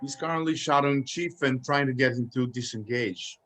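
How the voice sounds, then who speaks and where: Casting: male actor as dutiful army sergeant, mid-pitched, clipped and deferential, slightly hesitant, reporting to a superior. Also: moderate pace, a bit bright, natural voice. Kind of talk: screenplay table read.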